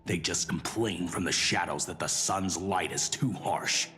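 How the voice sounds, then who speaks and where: A haughty, loyal officer from a fantasy game with a very low voice. He is formal and pompous, prone to bluster. Long reverb surrounds him and music plays underneath. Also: unhurried, pushed and bright. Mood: disdainful. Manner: formal, pompous, easily flustered. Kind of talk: fantasy video game voice acting.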